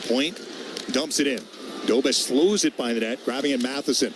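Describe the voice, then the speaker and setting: Man calling hockey over clear background noise. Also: mid-range voice. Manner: clipped, rapid short phrases naming players. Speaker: radio hockey play-by-play announcer. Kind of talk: live play-by-play sports commentary. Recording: noisy arena ambience behind the voice.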